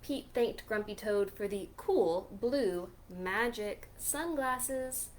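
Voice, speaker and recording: A woman hosting a children's storytime, reading a picture book aloud in a deliberate, expressive way. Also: medium pitch, slight background noise. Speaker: children's librarian storytime host.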